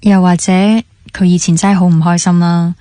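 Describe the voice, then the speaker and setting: A woman's voice: on the low side, little pitch movement, a little fast, dark tone, no echo, lightly acted. An actress playing a thoughtful, forgiving young woman in naturalistic Hong Kong Cantonese radio drama dialogue. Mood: understanding, reflective.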